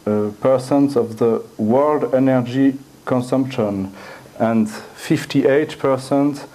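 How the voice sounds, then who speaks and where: A middle-aged man with a French accent, giving an academic lecture at a lectern in a university room, often halting and repeating words as he looks for the phrasing. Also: medium pitch, dark tone, rough recording. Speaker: guest lecturer.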